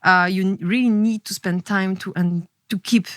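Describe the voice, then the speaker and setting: A woman with a French accent, a podcast guest speaking in short, halting phrases. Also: medium pitch, steady tone, no echo, clean studio sound. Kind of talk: conversational interview.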